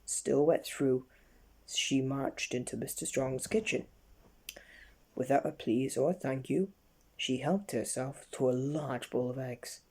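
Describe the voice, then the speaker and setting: Bedtime storybook narrator: mellow, somewhat raspy, homespun reading with stumbles. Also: mid-range voice. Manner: halting reading with slips. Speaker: story reader.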